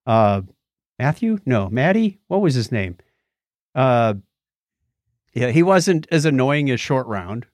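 A man's voice: medium pitch, sing-song, slow pace, pauses often, darkish tone, dry recording, studio quality. A man co-hosting a podcast in casual chat, with a wry, joking way of putting things. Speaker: podcast co-host. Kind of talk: casual chat about shows, films and music.

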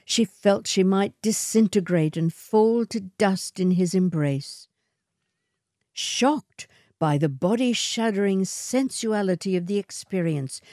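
A woman reading a literary short story in a measured, atmospheric way. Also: mid-range voice, warm tone, no echo, clean studio sound.

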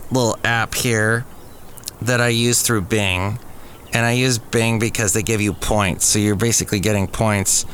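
A man hosts a casual podcast in a middle-pitched voice. He chats informally as a solo presenter, with faint noise behind him. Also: a bit bright. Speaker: podcast host.

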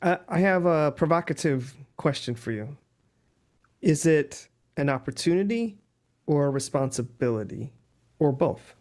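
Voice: medium pitch, animated, unhurried, a little mellow. An interviewer who poses pointed follow-up questions and steers the conversation from topic to topic.

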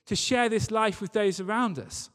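A man's voice: sits high, normal speed, a bit bright. A man preaching a church sermon in an earnest, emphatic way, repeating key phrases. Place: large reverberant church or hall. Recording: long hall reverb.

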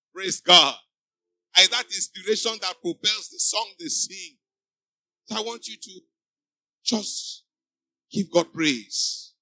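A man's voice: high voice, bright, forceful tone, dry recording, low-quality recording. A man preaching a sermon to a church congregation. He exhorts the listeners with emphatic, repeating refrains. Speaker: pastor. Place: church before a live congregation.

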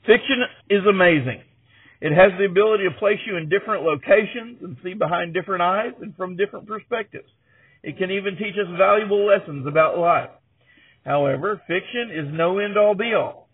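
A male podcast host with a high-pitched, husky voice presents conversationally. The sound is narrow and phone-like. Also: fairly quick.

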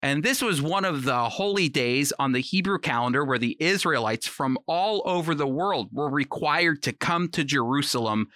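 A man's voice: a little high, animated, moderate pace, few pauses, pushed and bright, dry recording, clean studio sound. A man giving a conversational Bible teaching for a program, speaking emphatically and directly to the listener with rhetorical questions. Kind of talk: conversational teaching sermon, prepared radio talk.

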